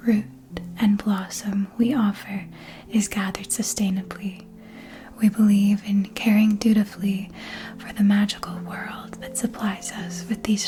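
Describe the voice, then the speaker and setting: A woman's voice: mid-range voice, natural voice. Lady doing a posh-mannered witch potion shop owner, asmr roleplay, whispery, proud n welcoming. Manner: formal, gracious, welcoming sales patter.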